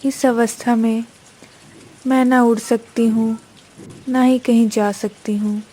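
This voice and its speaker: A woman plays a worried little mother bird in a children's cartoon, very high in pitch and slow in delivery. She sounds plaintive and pleading, with noisy rain behind the voice. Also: flat delivery, dark tone. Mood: worried, helpless. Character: anxious, pleading young mother bird, female. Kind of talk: dramatized Hindi children's cartoon story.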